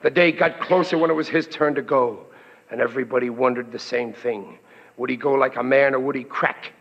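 A man's voice: medium pitch, fairly even intonation, a little fast, natural voice. An actor playing a dim, blustering working-class family man in a 1940s radio comedy, slangy and melodramatic. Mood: ominous storytelling. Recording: dull, lo-fi old radio recording.

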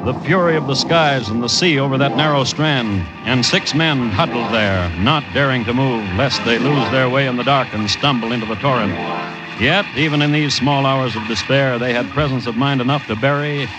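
Actor as a grave radio narrator over music. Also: male voice, medium pitch, natural voice. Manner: measured, dramatic. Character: grave storyteller of a grim sea tale. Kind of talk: old-time radio drama narration. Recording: dull old radio recording, music behind.

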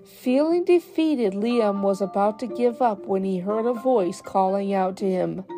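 A woman reading scripted children's folk tales in a measured, deliberate storybook delivery. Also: mid-range voice, warm tone, soft background music.

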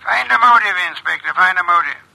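Gravelly, imperious master detective. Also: male voice, high-pitched, a little fast, bright, forceful tone, heavily acted, poor audio. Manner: pompous, formal, commanding. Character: brusque, imperious older male master detective. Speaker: actor playing a detective. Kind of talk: classic American radio drama acting. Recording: old radio drama recording.

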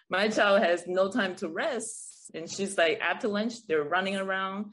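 A woman's voice: mid-range voice, slow pace, a bit bright. A woman from Taiwan speaking as a guest in a conversational podcast interview, explaining her views in a reflective way. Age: adult, parent of a school child. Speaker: guest, teacher and artist.

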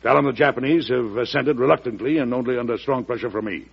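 An actor playing a hearty, shrewd middle-aged American president in an old radio drama, with a commanding, oratorical manner. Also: male voice, medium pitch, quick pace, creaky, breathy edge. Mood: resolute. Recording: muffled vintage radio recording.

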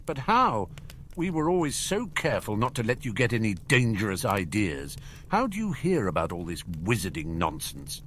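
A man voicing a contemptuous middle-aged uncle in a story, sharp, indignant and easily outraged. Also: fairly low pitch, very animated, normal speed, a little hiss or hum. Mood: alarmed, sharp.

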